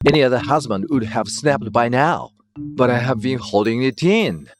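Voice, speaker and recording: An actor playing a self-righteous, clueless husband who scolds and dismisses, in a dramatized manga dub. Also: male voice, mid-range voice, animated, normal speed, close to an everyday voice. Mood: indignant. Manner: scolding, indignant, condescending. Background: music.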